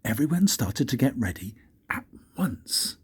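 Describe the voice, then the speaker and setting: Husky older man reading a storybook. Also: medium pitch. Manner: expressive, deliberate, with dramatic pauses. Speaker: grandfather reading stories for his grandchildren.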